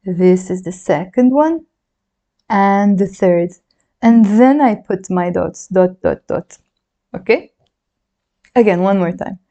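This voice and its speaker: A native Arabic-speaking woman with a Lebanese accent talks a class through writing letters. Her voice is mellow and soft, set at an ordinary middle pitch. She chats along, encouraging and counting strokes as she goes. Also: slow, pauses often. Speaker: Arabic teacher. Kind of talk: handwriting lesson, demonstrating while talking.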